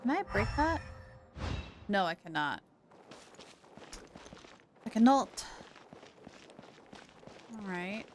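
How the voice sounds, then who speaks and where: A woman's voice: medium pitch, big pitch swings, drawn-out words, pauses often. Woman, live game streamer, talks to herself while puzzling, game music underneath. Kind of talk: live gameplay commentary.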